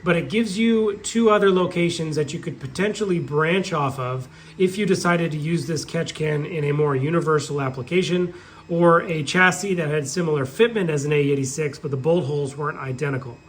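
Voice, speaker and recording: A man's voice: a little high, fairly quick. A man who is sick and has nearly lost his voice, explaining car parts step by step in his workshop. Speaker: shop owner showing parts. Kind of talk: casual product update. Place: workshop with a 3D printer running. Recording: faint printer hum behind. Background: faint printer noise.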